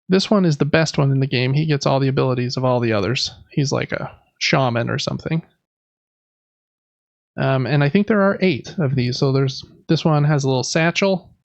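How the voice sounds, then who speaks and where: Gravelly-voiced man chatting about games. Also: a little high, dry recording, muffled recording. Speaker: podcast co-host reviewing board games. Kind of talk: casual show-and-tell chat about board games.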